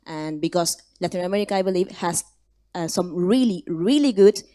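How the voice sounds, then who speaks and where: A young woman from Argentina, giving a lively self-introduction at a ceremony, laughing now and then. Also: fairly low pitch, normal speed. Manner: lively, laughing, restarts words. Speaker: incoming student.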